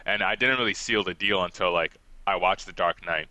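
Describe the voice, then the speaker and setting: A young man who grew up in Ghana, talking casually as a podcast guest, relaxed and chatty and quick to laugh. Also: low voice, no room sound. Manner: relaxed and chatty, laughs often, many "like" fillers. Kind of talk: casual interview talk.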